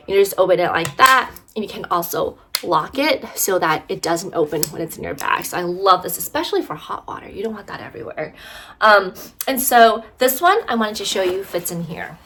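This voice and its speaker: A woman's voice: medium pitch, pushed and bright, slight background noise. A woman vlogger casually reviewing bags, slightly congested from allergies, chatty with many fillers. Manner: chatty, lots of fillers and "right?". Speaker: fashion vlogger.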